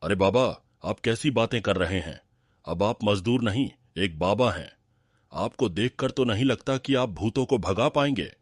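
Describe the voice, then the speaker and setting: A man's voice: on the low side, lively intonation, normal speed, breathy edge, no room sound, clean studio sound. A man voicing a greedy, cowardly village moneylender in a Hindi cartoon. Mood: doubtful. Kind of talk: Hindi cartoon character dialogue.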